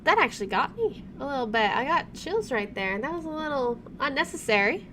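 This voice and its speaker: High-voiced woman gamer over background noise. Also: very animated, a little fast. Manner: chatty, wry asides, playful. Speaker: gaming video host. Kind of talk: casual playthrough commentary, reading game text aloud. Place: indoors at home, air conditioner running. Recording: clear background air conditioner noise.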